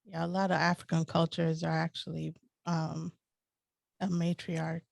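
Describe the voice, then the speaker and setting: A woman co-hosting a podcast, asking questions softly with some hesitation. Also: sits low, level tone, measured pace, vocal fry, no room sound, studio quality. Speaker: podcast co-host. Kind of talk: casual podcast chat.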